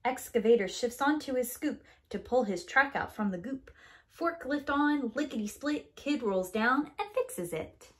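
A woman in her thirties reading a rhyming picture book aloud to young children in an expressive, deliberate storytelling manner. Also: sits high. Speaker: preschool teacher. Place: small indoor room with bookshelves.

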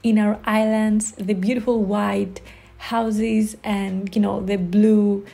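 A woman's voice: medium pitch, level tone, slow pace, vocal fry, mellow. A young woman from Greece with a Greek accent, narrating a watercolor tutorial in a friendly, enthusiastic, chatty way. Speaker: watercolor art instructor. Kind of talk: voice-over painting tutorial narration.

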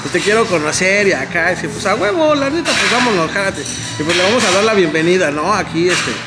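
A man's voice: high voice, lively intonation, a little fast, loud music behind the voice. A man in his thirties with a Mexican accent, hosting a casual vlog chat in a café, joking and swearing often. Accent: Mexican Spanish. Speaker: vlogger host. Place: indoor café at night.

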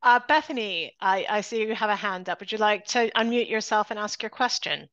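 A woman moderating a video seminar, polite and measured. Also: mid-range voice, a bit bright, dry recording, dull audio.

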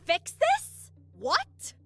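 An actress playing an anxious young woman, a reluctant thief in an audio drama, worried and pleading. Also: extremely slow. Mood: panicked. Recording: music bed behind dialogue.

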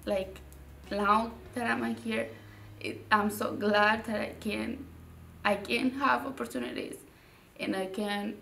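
A young woman from Mexico, a native Spanish speaker with a Mexican Spanish accent, answering interview questions in a halting way, repeating words and using many fillers. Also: a little high, steady tone, creaky, a bit bright, echoey room, recorded from a distance, slight background noise. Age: young adult. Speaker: graduating student. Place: indoor studio with dark curtain.

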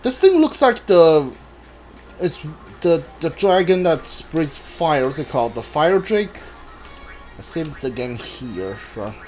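A video game player giving casual let's play commentary, thinking aloud in a halting way. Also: medium pitch, big pitch swings, darkish tone. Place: indoors, voice-over on gameplay. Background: video game music.

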